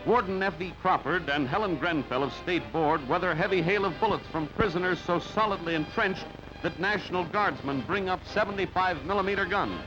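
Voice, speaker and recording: A male newsreel announcer with a high-set voice delivers clipped, dramatic headline phrases. The narration is scripted and declarative. The sound is dull and lo-fi, with music prominent behind him. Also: brisk. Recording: dull band-limited newsreel audio, loud music. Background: loud music.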